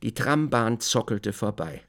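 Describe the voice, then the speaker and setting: A man narrating a literary radio drama from a script, with expressive, descriptive storytelling. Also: medium pitch, unhurried.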